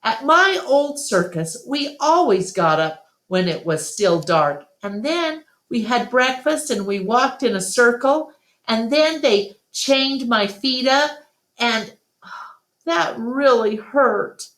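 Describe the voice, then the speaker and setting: A woman's voice: fairly high pitch, animated, slow, a bit bright, reverberant, voice pushed up. A woman voicing a chatty, innocent young female elephant in a storybook reading, eager and full of questions. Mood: earnest, wistful.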